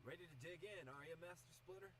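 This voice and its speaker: A man's voice: sits high, fast talker, a crowd in the background, close to an everyday voice, low-quality recording. A man playing a breezy, cocky, friendly young buddy in a comic dub. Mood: breezy, casual.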